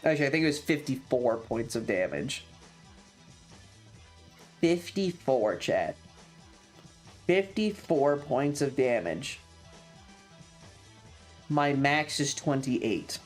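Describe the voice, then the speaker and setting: A mid-pitched streamer recounts a tabletop adventure to a live chat, with music playing behind. The delivery is casual and sweary, leaning hard on key words and repeating them for dramatic effect. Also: lively intonation, slow, long pauses. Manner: emphatic, repeats phrases for drama. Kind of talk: animated storytelling to a livestream chat about a tabletop game.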